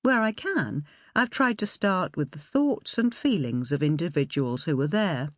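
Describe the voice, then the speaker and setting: A woman reading a nonfiction audiobook in a measured, articulate way. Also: sits low, lively intonation, no room sound, studio quality. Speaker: audiobook narrator. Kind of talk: scripted audiobook narration of nonfiction.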